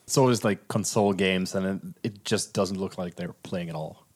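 Lower-voiced man, informal and opinionated. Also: fairly even intonation, normal speed, darkish tone. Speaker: film podcast co-host. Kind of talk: casual film discussion.

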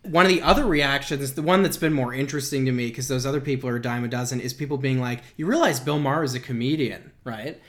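A woman's voice: rumbling low, quick pace, hardly any pauses. A woman co-hosting a podcast, talking casually and critically about comedians, with many fillers. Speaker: podcast co-host. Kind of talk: casual political chat.

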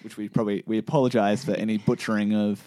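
Male podcast co-host, mellow lowish voice, dry close sound, thinks out loud with restarts. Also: flat delivery, quick pace, dry recording. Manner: thoughtful, restarts sentences while reasoning aloud. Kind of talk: casual science chat.

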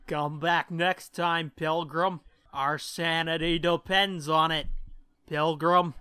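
A man's voice: fairly high pitch, fairly even intonation, slow pace, smooth, no room sound. A man co-hosting a podcast in casual chat, joking darkly and swearing freely. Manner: irreverent, foul-mouthed, dark joking. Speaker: podcast co-host.

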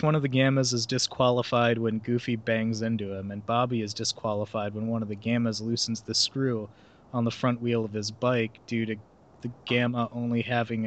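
A young man hosting a comedy podcast, reading a film synopsis aloud and breaking off into laughter and sarcastic asides. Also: medium pitch. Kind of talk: casual comedic podcast chat.

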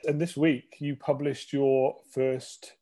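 A man's voice: mid-range voice, level tone, takes it slowly, warm tone. A man hosting a policy podcast in a casual way, often stammering and repeating words as he asks his questions. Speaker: podcast host, research fellow.

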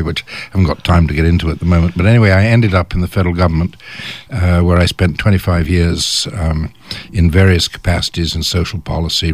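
A man's voice: deep voice, gravelly, slightly rough. An older man from England with an English accent, a guest on a radio talk show, telling stories about his career with a chatty, hesitant manner. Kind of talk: radio interview. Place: radio studio.

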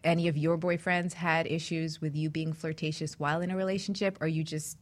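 A woman's voice: sits low, level tone, fairly quick. A middle-aged woman hosting a talk show, chatting and telling stories casually and affectionately.

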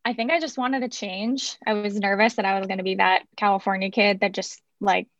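A raspy-voiced young woman from San Francisco, chatting as a guest in a radio interview with plenty of ums and restarts. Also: medium pitch, steady tone, brisk, a bit bright, no echo, muffled recording. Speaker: guest, music marketing head. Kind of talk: casual interview chat.

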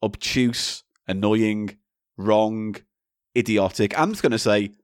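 A man's voice: lowish voice, fairly even intonation, slow, clean tone, no echo, clean studio sound. A man co-hosting a comedy podcast, bantering crudely and swearing, breaking into silly voices. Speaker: podcast co-host.

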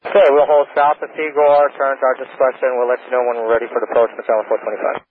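A man's voice: medium pitch, little pitch movement, fast. A man speaking as an airline pilot, with informal, plain phrasing over a cockpit radio. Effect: cockpit aviation radio. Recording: narrow-band aviation radio, faint static.

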